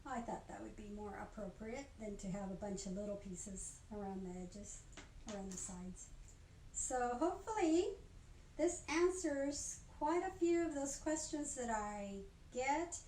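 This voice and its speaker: An older woman with a fairly high voice shows and explains craft work informally. She talks in a chatty, halting way and often rephrases as she goes. The room is clearly echoey, with a little background noise. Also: expressive, dark tone. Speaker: craft instructor. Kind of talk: informal product demonstration. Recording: roomy echo, faint background noise.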